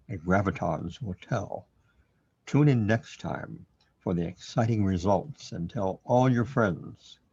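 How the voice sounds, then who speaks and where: A man's voice: deep voice, a little mellow, slightly rough, muffled recording, heavily acted. A man playing a mock-solemn cosmic insider who announces like an old-time serial narrator in an amateur audio comedy.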